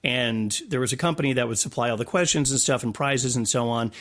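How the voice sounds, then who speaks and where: A man's voice: mid-range voice, fairly quick, a bit bright. Man chatting and telling stories casually. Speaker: guest, stadium PA announcer. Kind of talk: casual storytelling interview talk.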